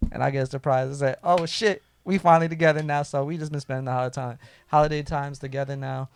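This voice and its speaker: Hoarse laid-back male podcast host. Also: medium pitch, steady tone, quick pace. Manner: laid-back, slangy, chuckling. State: hoarse from losing his voice. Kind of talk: casual chat.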